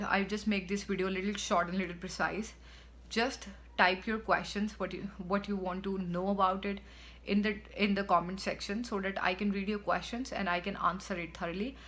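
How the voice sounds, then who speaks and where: A woman's voice: medium pitch, a bit bright. Indian woman explaining steps to viewers. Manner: instructive, repeats phrases, speaks in short stepwise chunks. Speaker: vlogger. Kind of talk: explanatory vlog talk to viewers.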